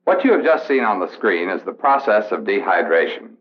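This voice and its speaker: An actor playing a serious Air Force officer instructor with an American accent, lecturing in a measured, formal way on an old training film. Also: male voice, deep voice, very animated. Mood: serious, instructive. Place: indoor briefing room film set. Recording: dull vintage film soundtrack.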